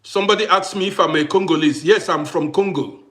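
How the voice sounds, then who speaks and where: A man hosting a casual livestream who greets viewers by name, repeats himself, and swings between loud bursts and quiet asides. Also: high-pitched, a little fast. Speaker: livestream host.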